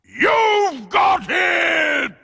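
A man voicing a menacing undead creature in a storytelling, groaning loudly in a drawn-out wail as it hunts for what was stolen. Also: extremely high pitch, very animated, vocal fry, roomy sound, big-room sound, a faint crowd, voice pushed up, exaggerated voice. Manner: very slow, loud, menacing.

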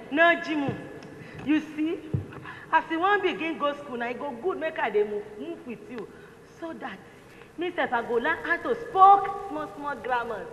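A woman's voice: sits high, lively intonation, normal speed, fairly bright tone. A woman with a Nigerian accent acting a sassy, scornful clinic cleaner in a stage comedy. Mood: coaxing. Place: indoor theatre stage, distant camera. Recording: noisy echoey stage hall recording.

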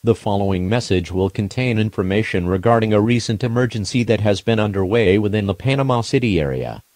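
A man's voice: fairly low pitch, lively intonation, brisk, darkish tone, no echo, clean studio sound. A man's formal, impersonal synthetic voice reading a scripted emergency alert announcement.

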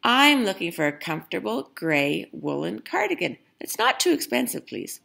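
A woman's voice: medium pitch, big pitch swings, moderate pace, gravelly, fairly bright tone, full character voice. A woman voicing a fussy, polite old grandpa from a picture book, plaintive and politely insistent.

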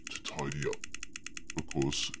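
An evasive murder suspect in a horror game, speaking in an extremely deep, rumbling voice. He drags out every word and keeps his answers flat and dodging. A steady noise sits under the game audio. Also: unhurried.

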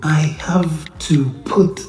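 A woman's voice: deep voice, steady tone, very slow. An actress playing a secretive young woman in an audio drama, with a roomy echo. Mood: hushed, excited.

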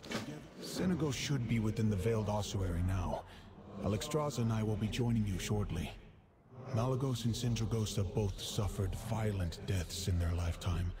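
A man plays a calm dragon leader in a fantasy game. The voice is on the low side, formal and dignified, with clear background ambience. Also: moderate pace, natural voice. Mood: composed gravity. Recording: game ambience noise behind voice.

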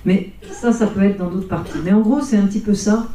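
An older woman explains her points as a lecturer on stage. Her tone is very soft-edged and dark. The sound is roomy and a little distant. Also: medium pitch, brisk, a little hiss or hum. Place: conference hall, on stage. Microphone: handheld microphone.

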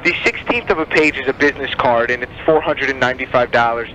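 Bright, forceful male phone salesman. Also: mid-range voice, fast. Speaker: telemarketer selling advertising. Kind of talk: sales pitch over the phone. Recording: dull phone line, faint noise.

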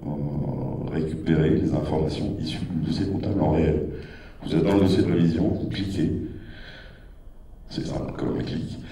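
A man in his fifties explaining software features on stage with a handheld mic, measured and full of fillers. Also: very deep voice, far from the mic. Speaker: keynote presenter. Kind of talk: product keynote presentation. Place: large dark stage with a big screen. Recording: echoey hall, voice from afar.

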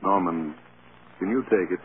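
A man's voice: low voice, moderate pace, natural voice. Man as a candid film producer, crackly radio. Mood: reluctant, frank. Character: tactful male film producer, patient and candid. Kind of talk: vintage American radio drama acting. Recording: scratchy, tinny old radio broadcast.

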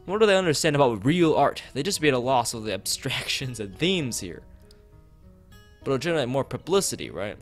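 A man voicing an opinionated, flattering gallery owner in a visual novel, gushing and full of rhetorical questions. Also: medium pitch, a little fast. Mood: haughty. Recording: music behind the voice.

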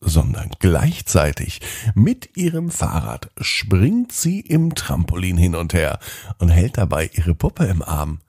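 Deep-voiced, animated male bedtime storyteller. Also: slow, slightly rough, no echo, studio quality. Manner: expressive, addressing young children directly. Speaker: children's podcast host and storyteller. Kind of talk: scripted bedtime story narration.

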